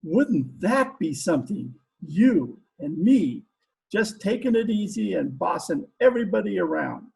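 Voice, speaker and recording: Man acting a dim gangster, very creaky high-pitched voice, slangy, lovesick, old radio comedy. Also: sing-song, unhurried, mellow, full character voice, poor audio. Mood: smitten, eager. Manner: slangy, uneducated tough-guy talk. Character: lovestruck, dim, trigger-happy male gangster hitman. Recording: home video-call recording.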